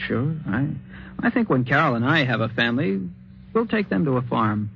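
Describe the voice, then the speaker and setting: Earnest young husband, hissy old radio. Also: male voice, mid-range voice, big pitch swings, normal speed, gravelly. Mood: conversational. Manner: plainspoken, hesitant, earnest. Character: practical, protective, concerned young husband. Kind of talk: 1950s radio drama acting. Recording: muffled, noisy old radio recording.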